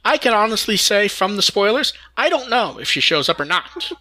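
Guy hosting a casual fan podcast, kinda high bright voice. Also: sing-song, brisk. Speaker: podcast host.

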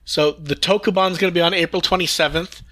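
A man's voice: fairly high pitch, steady tone, fast, sharp tone. A man hosting a podcast, going through news in a casual discussion style. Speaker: podcast host.

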